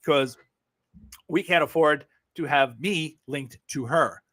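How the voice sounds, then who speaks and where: New York man, livestream host; blunt, sarcastic, swears freely, slightly high pitch. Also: slow, a bit bright. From Brooklyn, New York. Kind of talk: casual live-stream chat.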